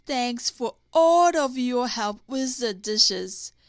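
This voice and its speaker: Woman voicing weary teenage boy, storybook character, very slow, fairly high voice, dry sound. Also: expressive, no room sound. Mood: amused. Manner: muttering, sighing, drawn-out. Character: grumbling, weary teenage boy stuck washing dishes.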